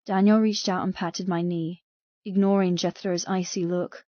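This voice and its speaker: Mellow woman narrating dark fiction. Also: fairly low pitch, little pitch movement, moderate pace, no echo, highs cut off. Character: frightened captive young woman telling her story. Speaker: audiobook narrator. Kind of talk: scripted first-person dramatic fiction narration.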